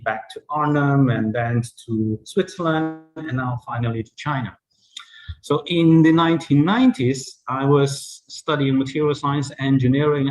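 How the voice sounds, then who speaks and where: A man's voice: medium pitch, rough recording. A man, giving a webinar talk about his career in a conversational way, with frequent fillers and restarts. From Hong Kong. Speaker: invited speaker. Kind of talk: webinar presentation.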